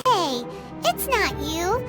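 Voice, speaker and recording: A tiny floating fairy companion, squeaky and childlike, with a sky-high voice that leaps all over the place. She talks in a bouncy, blunt way, always excited about food. Game music plays loudly behind her. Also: slow pace, heavily acted. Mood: reassuring. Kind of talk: video game cutscene dialogue.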